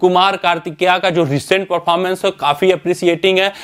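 A young man with a high voice talks through a cricket team lineup in front of a screen. He explains step by step, pausing on fillers and correcting himself as he goes. The sound is from an indoor studio. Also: steady tone, fairly bright tone. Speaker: cricket news presenter. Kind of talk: cricket analysis talk to camera.

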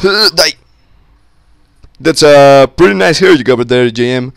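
A young man with a slightly sick voice, giving casual, excitable commentary on a co-op game with friends on a close mic. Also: a little high, a little slow, gravelly, clear, resonant tone, no echo. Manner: excitable, swearing, joking with friends. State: sick, voice a little off. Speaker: gameplay commentator. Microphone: close-up desk mic.

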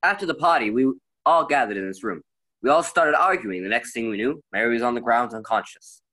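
A performer playing a defensive male murder suspect with a husky voice in a radio mystery play. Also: medium pitch, expressive, fairly quick, pushed and bright, no echo.

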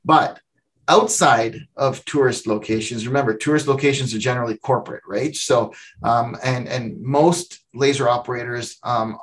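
Middle-aged man, video call, home office, explanatory, mid pitch. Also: a bit bright. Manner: explanatory, gestures a lot with his hands. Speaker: company founder explaining software. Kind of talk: video call interview answer.

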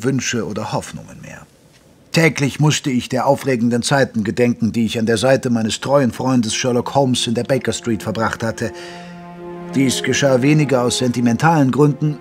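Casting: retired old military doctor male actor, mid-pitched, genteel storyteller for radio drama, faint music beneath. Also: husky, close to an everyday voice. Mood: wistful. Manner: measured, genteel, reminiscing. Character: retired old army doctor, dutiful, reflective male narrator. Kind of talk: scripted first-person radio play narration in German.

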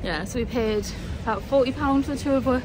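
A woman's voice: high voice, fast, noisy background. A middle-aged woman from England chatting casually in a family vlog with a child, often ending on tag questions. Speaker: family vlogger. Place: on a train, city streets and in a restaurant. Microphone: handheld phone at arm's length. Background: music.

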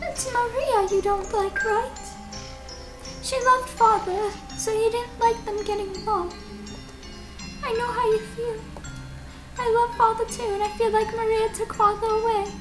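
A woman's voice: very high-pitched, fairly even intonation, unhurried, roomy sound, over music. A woman voicing an earnest, devoted young girl in a horror game, with a childlike, emotional delivery. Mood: hurt, accusing. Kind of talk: dubbing dialogue of a horror game.

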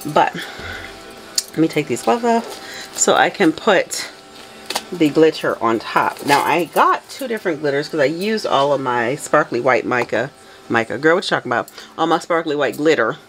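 A woman's voice: deep voice, lively intonation, moderate pace, noisy background. A woman chatting casually to herself as she works through a soap-making tutorial at a home table. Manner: chatty, talks to herself, self-correcting. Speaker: soap maker. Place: home craft table, indoors.

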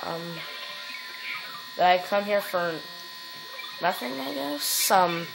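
A woman's voice: mid-range voice, lively intonation, takes it slowly, gravelly, over music, low-quality recording. Rambling giggly woman commenting on a game. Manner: rambling, giggly, lots of fillers and silly rhymes. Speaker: gameplay commentator. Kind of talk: casual let's play commentary. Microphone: phone filming the TV.

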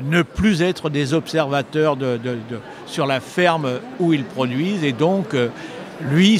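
An older man, a retired journalist, answering interview questions in a measured, explanatory way with frequent hesitations, at a book fair stand into a handheld mic. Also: on the high side. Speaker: retired agricultural journalist, author. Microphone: handheld mic held by interviewer. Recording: noisy crowded hall. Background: crowd chatter.

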